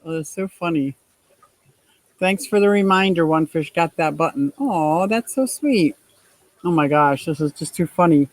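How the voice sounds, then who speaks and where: A woman in her sixties with a deep voice, hosting a casual livestream, reading viewer comments and laughing often in a gushing, playful way. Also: lively intonation, normal speed, no echo, low-quality recording. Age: 60s. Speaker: livestream host.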